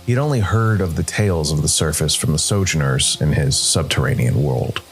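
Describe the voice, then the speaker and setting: A man hosting and narrating a scripted story podcast in a measured, deliberate storytelling manner. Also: extremely low pitch, faint music behind.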